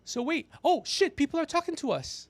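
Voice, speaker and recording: A man with a notably high voice and a lot of gravelly creak in it. He hosts a casual livestream, needling and swearing freely with an excitable delivery. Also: fairly quick, no room sound. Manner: teasing, needling, profane. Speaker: podcast host.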